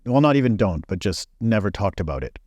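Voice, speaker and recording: A man's voice: lowish voice, sing-song, brisk, a little mellow, no room sound. A man in his forties, born in Belgium, speaking frankly as a podcast guest with many fillers. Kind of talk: casual interview chat.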